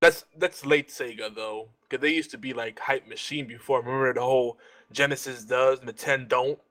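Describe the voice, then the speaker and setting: A man with a medium-pitched voice chats on a podcast panel. His talk is informal and sweary. He tends to act out the things other people would say. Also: fairly even intonation, normal speed, a bit bright. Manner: informal, sweary, acts out quotes. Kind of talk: casual chat.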